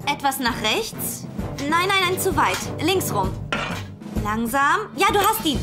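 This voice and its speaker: A brisk female firefighter from a cartoon. She is focused, very high and lively in pitch, with clear music behind. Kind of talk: animated children's cartoon dub.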